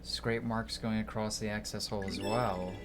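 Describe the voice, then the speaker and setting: A man's voice: lowish voice, fairly even intonation, moderate pace, music in the background. A man streaming a game, reading text aloud and muttering asides to himself as he plays. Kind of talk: live playthrough commentary, reading game dialogue aloud.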